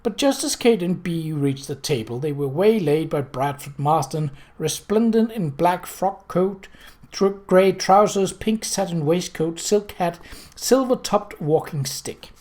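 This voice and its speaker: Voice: mid-range voice, expressive, measured pace, darkish tone. A narrator reading a novel aloud as scripted storytelling. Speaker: audiobook narrator.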